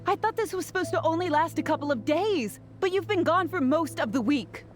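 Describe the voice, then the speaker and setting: A woman's voice: extremely high pitch, expressive, a little fast, a little hiss or hum. A woman acting as an exasperated, chiding mother in a video game.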